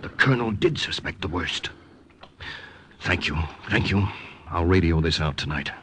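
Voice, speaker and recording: Earnest young undercover lieutenant, low lively voice, old radio drama, noisy recording. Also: male voice, normal speed. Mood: earnest, guarded. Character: earnest young American lieutenant, undercover agent in wartime Holland. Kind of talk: 1950s radio drama acting.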